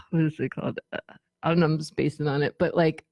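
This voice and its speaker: A woman talking casually as an interview guest, telling anecdotes in an animated, conversational way and acting out bits of dialogue. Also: sits low, brisk, vocal fry, dry recording. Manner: animated storytelling, quotes imagined dialogue. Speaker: radio show guest, former tour manager.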